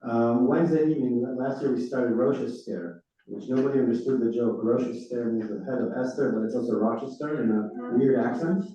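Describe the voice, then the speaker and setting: A man's voice: medium pitch, a little fast, few pauses, warm tone. A male rabbi whose first language is Hebrew, giving a chatty, informal run-through of upcoming classes to his congregation. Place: large synagogue room. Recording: echoey hall, far off, dull.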